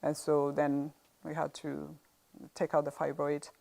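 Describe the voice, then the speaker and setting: Low, gravelly East African woman. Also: completely flat delivery, a little slow, warm tone, poor audio. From Uganda. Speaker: interview guest sharing a health experience. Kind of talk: conversational personal testimony.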